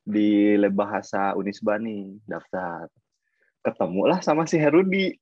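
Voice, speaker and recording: A young man with a Sundanese accent and a lowish voice talks in a relaxed, halting way, full of fillers. His sound is dull and lo-fi, as over a video call. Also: no room sound. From Sumedang, Indonesia. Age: young adult. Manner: relaxed, hesitant, many fillers. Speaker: guest. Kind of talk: casual chat.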